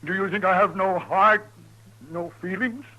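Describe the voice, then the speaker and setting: An actor playing a lonely old traveling medicine peddler with flowery, formal, pleading speech in a 1950s radio western. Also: male voice, high-pitched, unhurried, pushed and bright, highs cut off, exaggerated voice. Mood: wounded, pleading. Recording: noisy, humming old radio recording.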